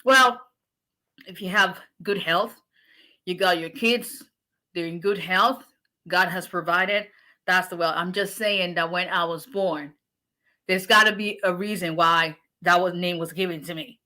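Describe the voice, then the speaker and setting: Bright-voiced woman chatting live. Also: on the low side, measured pace. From Nigeria. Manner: chatty, greets viewers by name, encouraging and preacher-like. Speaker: immigration attorney. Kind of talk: casual livestream chat.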